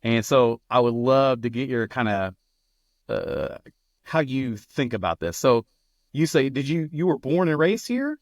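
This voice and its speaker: An American man with a medium-pitched voice hosts a casual interview podcast. He phrases things informally and a bit haltingly, recorded dry with hardly any room sound. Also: very animated, no echo. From United States.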